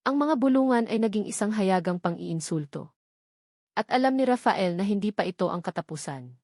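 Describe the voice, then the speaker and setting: A woman's voice: mid-range voice, no echo, clean studio sound. A woman with a Filipino accent reading a scripted romance story in a dramatic narrating style.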